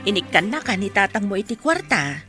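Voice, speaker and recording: A woman's voice: medium pitch, very animated, brisk, over music, close to an everyday voice. A woman acting in an Ilocano radio drama as a blunt, disapproving elderly grandmother.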